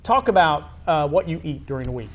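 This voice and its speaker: A man hosting a conversational sports interview, feeling his way through questions in a halting manner and often rephrasing them. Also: fairly high pitch, expressive. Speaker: interviewer, show host.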